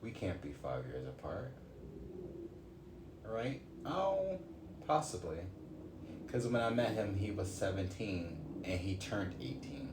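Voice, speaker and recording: A man's voice: low voice, sing-song, slow pace, long pauses, noisy background. A man in his late twenties ranting casually on a livestream while reading cards, thinking aloud with swearing and sudden exclamations. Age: 20s. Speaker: streamer doing a card reading. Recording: echoey room with faint ambience.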